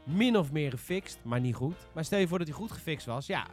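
A man's voice: a little high, expressive, brisk, faint music behind. A man hosting a casual podcast chat about games. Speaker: podcast host.

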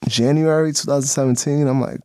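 A man's voice: mid-range voice, fairly even intonation, brisk, mellow, dry recording. Laid-back young man, dry studio sound. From Dominican Republic. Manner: loose, laughing, lots of fillers. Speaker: guest videographer. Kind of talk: casual radio interview chat. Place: radio studio.

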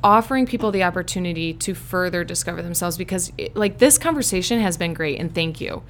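A woman's voice: lowish voice, animated, fast talker, a little hiss or hum. A woman with a Chicago accent, a life coach chatting as a guest on a podcast in an upbeat, emphatic way. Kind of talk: casual podcast chat.

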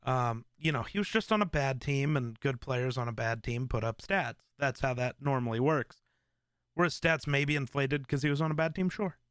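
A man hosting a live stream, chatting casually in a rambling way with lots of fillers and restarts, in a relaxed voice. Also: medium pitch, normal speed, dry recording, studio quality. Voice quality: relaxed, smooth voice. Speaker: streamer host.

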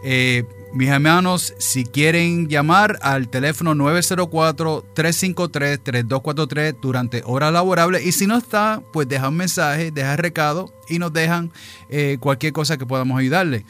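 Casting: a New York-born man of Puerto Rican descent, a deacon hosting a radio show. Slightly high voice, easygoing and chatty. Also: moderate pace, a bit bright, soft background music. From New York, of Puerto Rican parents. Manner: chatty, folksy, with frequent "eh" fillers. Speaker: radio program host, deacon. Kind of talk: casual radio talk and Bible reading.

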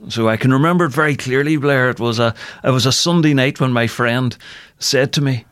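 A man's voice: mid-range voice, a little fast, a bit bright, clear, resonant tone, dry recording, clean studio sound. A man with a Northern Irish accent giving personal testimony as a radio guest, speaking earnestly and repeating phrases for emphasis. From Northern Ireland. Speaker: interview guest, ministry worker. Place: radio studio.

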